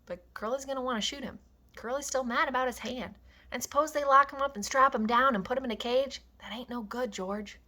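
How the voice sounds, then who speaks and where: A woman reading the lines of a calm, quiet ranch leader, measured and soft. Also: high-pitched, voice pushed up.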